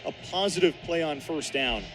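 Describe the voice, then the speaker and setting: A man's voice: on the high side, normal speed, music in the background, poor audio. A young man giving explanatory, analytical color commentary on a live football radio broadcast. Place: outdoor football stadium broadcast. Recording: stadium broadcast with loud ambient noise.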